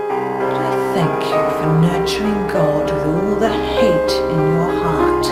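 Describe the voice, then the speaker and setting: An actress playing a cold, fanatical cult priestess in a video game, preaching gravely and with menace. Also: female voice, lowish voice. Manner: grave, preaching, drawn-out delivery. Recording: echoey, under loud music. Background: loud music.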